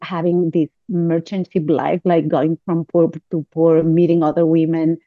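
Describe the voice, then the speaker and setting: Catalan woman guest, lowish voice, halting delivery, dry slightly dull sound. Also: little pitch movement, no echo. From Catalonia, Spain. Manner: halting, many fillers and restarts. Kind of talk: podcast interview.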